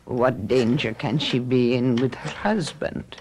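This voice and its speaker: A woman playing a solemn, commanding fortune-telling witch who speaks in a measured, ominous way in a radio drama. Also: very deep voice, darkish tone, a little hiss or hum, poor audio. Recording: radio drama with music behind.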